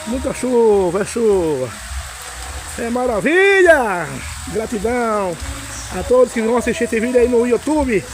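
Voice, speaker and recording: A hoarse man, excitedly exclaiming as he films his farm outdoors in the rain on a phone. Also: very high voice, unhurried. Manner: excited, exclaiming and singing along to music. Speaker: vlogger filming his farm. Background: music playing.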